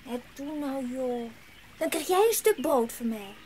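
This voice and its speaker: A woman's voice: high-pitched, lively intonation, measured pace, darkish tone, slight background noise, rough recording. A woman playing an eager, cheerful, curious little girl in a children's audio drama, with childlike wonder.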